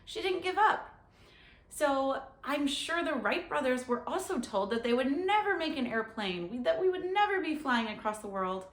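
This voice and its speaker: A woman's voice: high-pitched, sing-song, normal speed, reverberant. A woman hosting a read-aloud for children, speaking to them directly and instructively. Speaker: storytime host and reader.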